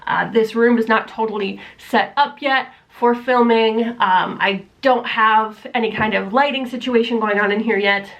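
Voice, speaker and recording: Young woman, slightly high voice, stuffy nasal tone, rambling casual vlog delivery, home room on a camera mic. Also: moderate pace, hardly any pauses, a bit bright, muffled recording. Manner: rambling, many fillers, self-deprecating. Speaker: book vlogger. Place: room at home, not yet set up for filming. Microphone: camera mic, camera propped on books.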